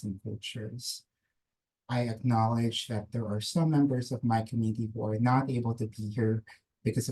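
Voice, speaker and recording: A man whose first language is Filipino, presenting as a nurse practitioner on a video-call webinar, speaking slowly and gently as he leads a grounding exercise. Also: mid-range voice, husky, poor audio. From Philippines. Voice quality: slow, measured, gentle invitational delivery. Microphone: computer mic on a video call.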